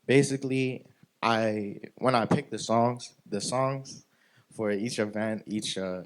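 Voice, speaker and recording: A man's voice: lowish voice, takes it slowly. A man of twenty-one, a guest singer, answering casually and saying "like" a lot. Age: 20s. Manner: relaxed, says "like" a lot, laughs.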